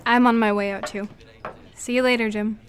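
A woman's voice: on the high side, level tone, normal speed, slight background noise, natural voice. A woman playing a tender, reflective female war veteran in a scripted radio drama. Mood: teasing, calm.

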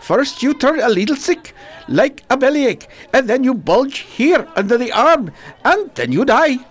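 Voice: high-pitched, big pitch swings, a little slow, heavily acted. An actor playing a talkative bazaar shopkeeper in a radio drama, with a foreign accent and broken, emphatic English. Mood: explaining. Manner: broken English, short emphatic repeated phrases.

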